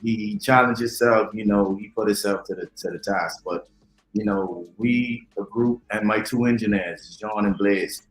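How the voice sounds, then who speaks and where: A man from the Bahamas, a guest talking unhurriedly about his music, with many ums and pauses. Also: fairly low pitch. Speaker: DJ and drummer, interview guest. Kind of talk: relaxed conversational interview. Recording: echoey room.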